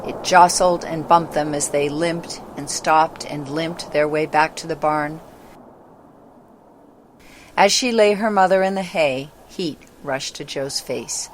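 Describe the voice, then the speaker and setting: A woman's voice: sits low, slow, slight background noise. A female narrator reading a scripted children's story in a dramatic storytelling style.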